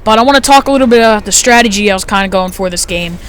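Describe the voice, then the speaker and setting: A fifteen-year-old boy with a light, high-sounding voice, chatting casually through his gameplay commentary with lots of fillers. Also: very fast, a bit bright, a little hiss or hum. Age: teens. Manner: chatty, rambling, frequent fillers.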